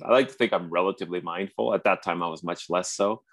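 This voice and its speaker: A Canadian man in his forties with a very low voice, chatting over a remote call. He talks earnestly, stopping and restarting his phrases often. The sound is dry and close. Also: a bit bright, dry recording. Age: 40s. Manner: earnest, halting with frequent restarts. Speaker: podcast host. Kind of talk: casual conversation.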